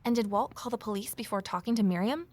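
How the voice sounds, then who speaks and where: An actress playing an anxious, determined amateur sleuth in an audio drama, earnest and introspective. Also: female voice, medium pitch, quick pace, slight background noise, lightly acted. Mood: brooding, anxious. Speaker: lead character and narrator. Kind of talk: naturalistic audio drama dialogue and narration.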